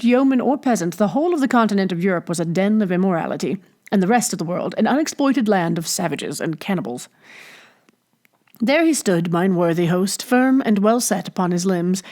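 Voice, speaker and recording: A woman audiobook reader with a mid-pitched voice. She narrates expressively from a script, in the style of classic storybook telling. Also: darkish tone.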